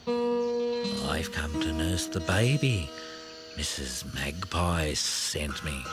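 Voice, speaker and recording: A man voicing a sly, wicked female snake in a storybook, drawling slowly and insinuatingly. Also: a bass voice, big pitch swings, loud music behind the voice, voice pushed down, full character voice. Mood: sly, coaxing.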